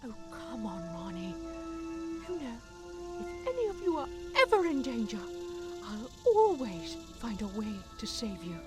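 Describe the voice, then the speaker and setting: A woman's voice: fairly high pitch, wildly swooping pitch, unhurried, over music. A woman playing a confident, triumphant female defender of Earth in an audio drama. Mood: reassuring. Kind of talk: fan audio drama acting.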